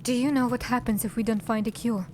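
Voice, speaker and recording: A woman acts a female drow assassin from a fantasy game, a weary survivor with a fairly high voice. She speaks tersely and keeps her guard up, over faint background noise. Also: fairly even intonation, fast, darkish tone, lightly acted. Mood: determined. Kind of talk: fantasy video game dialogue acting.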